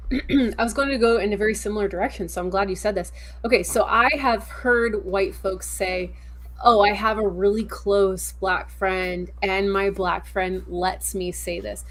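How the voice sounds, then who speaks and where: A woman in a casual discussion who reasons aloud in a measured, inquisitive way. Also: medium pitch, steady tone.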